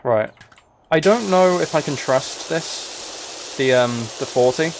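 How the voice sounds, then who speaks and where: A man's voice: a little high, slow, vocal fry, a little hiss or hum, low-quality recording. A man live streaming a video game, chatting casually and thinking aloud in a rambling way. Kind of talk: casual chat while playing a video game.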